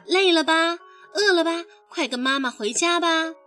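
A woman's voice: extremely high pitch, moderate pace, sharp tone, faint music behind. A woman voicing a caring, anxious mother frog in a motherly manner for a children's story. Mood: relieved, fussing. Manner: tender, fussing, motherly.

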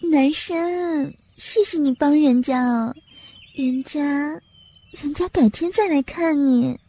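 A woman's voice: high voice, slow, warm tone. A woman playing a coquettish, scheming young female fox spirit in a Chinese audio drama, cooing and catty. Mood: coy.

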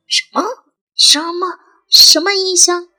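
A woman's voice: very high voice, fairly even intonation, slow pace, bright, forceful tone, pitched above the performer's own voice, heavily acted. A woman voicing an elderly grandmother in an audio drama, imperious and haunted by memory. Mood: shocked. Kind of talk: Chinese audio drama acting.

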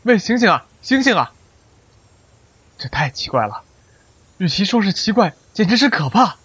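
A man's voice: sits high, big pitch swings, moderate pace, a bit bright, breathy edge, dry recording, heavily acted. An actor playing a bewildered lawyer in his thirties, a stranger in another world, earnest and hesitant in a radio drama. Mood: alarmed. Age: 30s. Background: background music.

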